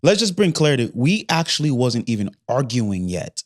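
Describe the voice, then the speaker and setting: A man in his thirties co-hosting a podcast, talking in a playful, rambling way and pausing to search for words. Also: medium pitch, very animated. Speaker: podcast co-host. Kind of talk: casual chat.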